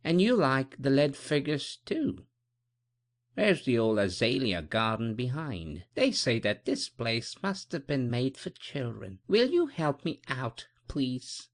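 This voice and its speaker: A man reading as a wistful blind woman of an old country house, her voice lifted far above his own and swinging widely in pitch. She speaks softly and slowly, as in a storybook audiobook. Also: heavily acted. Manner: soft, slow and wistful. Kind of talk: audiobook character voice.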